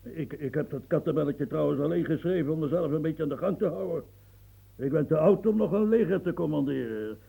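An actor playing a proud, blustering old marshal in a radio play, gravelly and coughing, swinging from mumbles to outbursts. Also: male voice, a little high, a little fast, mellow, full character voice, poor audio. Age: older.

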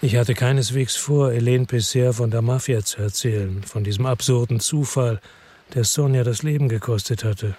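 A man's voice: medium pitch, moderate pace, warm tone, breathy edge, lightly acted. An actor playing an embittered, defiant male loner in a radio crime drama, speaking in a terse, sardonic, evasive way. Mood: bitter, brooding. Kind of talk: radio crime drama with first-person narration.

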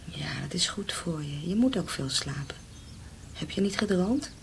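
A tender young woman nursing her beloved in a radio drama. Her voice sits a little low, soothing and protective, with clear birdsong and ambience around it. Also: expressive, moderate pace, a little hiss or hum. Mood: hushed, protective. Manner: hushed, soothing, intimate. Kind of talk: Dutch radio drama acting.

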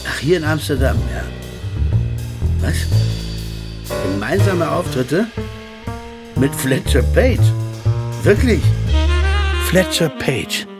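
An actor playing a jazz pianist who narrates in the first person, in a measured, inwardly questioning way. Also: male voice, lowish voice, lightly acted. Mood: surprised. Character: male jazz pianist narrating, wry and curious. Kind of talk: audio drama narration.

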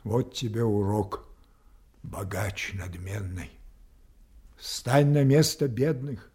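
An actor playing an old, deposed king losing his reason, declaiming with halting, weighty anguish in a theatrical radio drama. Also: fairly low pitch, warm tone. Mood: brooding sorrow. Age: older.

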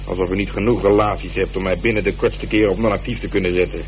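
An actor playing a methodical police detective in a radio drama, talking in a measured, colloquial, dryly ironic way. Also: male voice, deep voice, fairly even intonation, lightly acted. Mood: coolly insistent. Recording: noisy radio play recording, heavy background noise.

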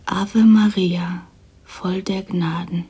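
An actress playing a solemn, hushed voice that intones echoes and prayers in a radio play. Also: female voice, fairly low pitch, steady tone, slow, heavily acted. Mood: prayerful. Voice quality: hushed, breathy. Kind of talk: radio play, incantatory delivery.

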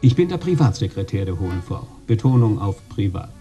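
An actor playing a smug, businesslike private secretary in a radio drama, curt and to the point. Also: male voice, fairly low pitch, big pitch swings, brisk, warm tone, soft background music. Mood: brisk, smug. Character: brisk, smug male private secretary to a boss.

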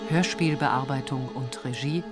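A woman's voice: rumbling low, measured pace. A woman reading a scripted title and credits announcement for a radio play. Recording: loud string music behind voice.

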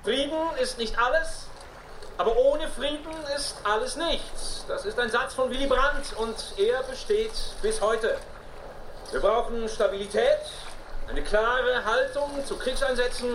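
Echoey, pompous politician's speech. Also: male voice, extremely high pitch, a bit bright, slight background noise. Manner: oratorical, solemn. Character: pompous politician giving a statesmanlike peace speech. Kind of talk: formal political speech in a radio drama.

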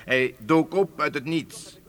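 A man's voice: a little high, slow. An actor playing a deferential but cold-blooded French hitman in a radio drama, formal and matter-of-fact.

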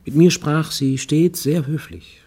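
An actor playing a brooding, lonely man confessing his troubled youth in a radio play, halting, intense and confiding, often close to whispering. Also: fairly high pitch, steady tone, mellow, breathy edge. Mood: intense, haunted. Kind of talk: dramatic first-person monologue in a radio play. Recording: studio radio play with music underneath.

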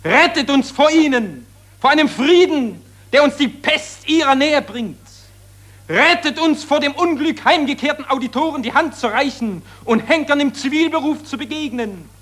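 An actor playing an impassioned satirist who accuses warmongers and summons the war dead, declaiming solemnly in 1940s German radio drama style. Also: male voice, extremely high pitch, expressive, normal speed. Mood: fiery rallying cry.

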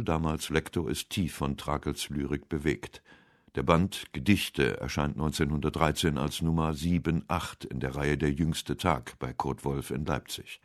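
A man narrating a scripted literary biography for radio in a measured, articulate way. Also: very deep voice, no echo, clean studio sound.

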